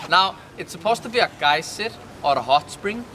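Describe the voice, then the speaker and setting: A man's voice: high-pitched, animated, normal speed, a crowd in the background. A man with an Icelandic accent, guiding a walking tour and chatting with his group, quizzing them with questions. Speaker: tour guide. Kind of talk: guided walking tour talk. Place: outdoors on a city street. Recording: loud street noise, from a distance.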